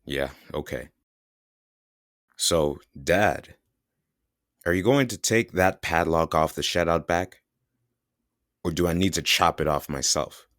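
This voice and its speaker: A man's voice: low voice, slow pace, pauses often, a bit bright, no room sound, studio quality, full character voice. A man playing a bitter, sullen grown son. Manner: sullen, sarcastic, profane. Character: bitter, resentful adult son. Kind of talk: audio drama acting.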